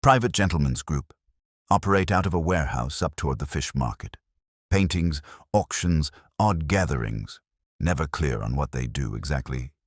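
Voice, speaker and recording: A man voicing a burly, gruff harbour master in an audiobook mystery, talking in clipped, plain-spoken phrases. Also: very deep voice, lively intonation, normal speed, dry recording, clean studio sound, exaggerated voice. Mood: gruff, knowing. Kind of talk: audiobook detective story dialogue.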